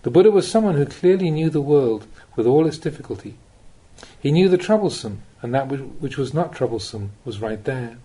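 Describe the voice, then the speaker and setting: A man reading a spiritual teaching aloud as an audiobook, with measured, deliberate phrasing and pauses. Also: mid-range voice, animated, dark tone, husky. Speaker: Buddhist monk reading recorded teachings. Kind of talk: audiobook reading of a Dhamma talk.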